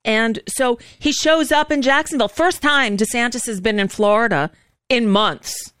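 A woman's voice: on the high side, normal speed, a bit bright, clear, resonant tone, no room sound, studio quality. A woman hosting a live show with informal political commentary, speaking in a blunt, sardonic, rambling way. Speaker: talk show host.

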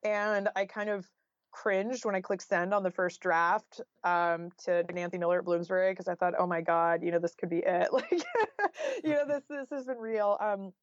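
A woman's voice: medium pitch, expressive, normal speed, no echo. Female author, conversational and reflective guest; dry, slightly muffled lo-fi recording. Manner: conversational, thoughtful, frequent "like" and "you know". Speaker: interview guest, nonfiction author. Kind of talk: casual, reflective interview talk.

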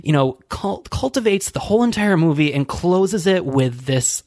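A man co-hosting a podcast, chatting casually about a movie with relaxed hesitations, chuckles and the odd swear word. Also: fairly high pitch, normal speed, dry recording, studio quality. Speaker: podcast co-host.

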